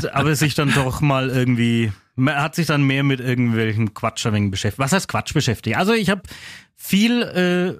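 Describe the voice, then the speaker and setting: A man's voice: mid-range voice, lively intonation, moderate pace, no echo, studio quality. A man with a Franconian accent co-hosting a morning radio show, explaining at length and correcting himself as he goes. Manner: rambling, self-correcting explainer. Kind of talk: casual radio banter.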